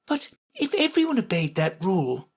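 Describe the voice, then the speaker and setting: A woman's voice: mid-range voice, sing-song, normal speed, a little mellow, voice pushed up. A woman voicing an eager, polite, earnest little girl who likes to argue, in a children's storybook. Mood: timid, argumentative.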